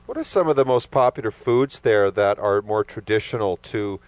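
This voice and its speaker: A man's voice: on the low side, expressive, normal speed, darkish tone, no room sound. Upbeat male host, dry close sound. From Canada. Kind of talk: interview hosting.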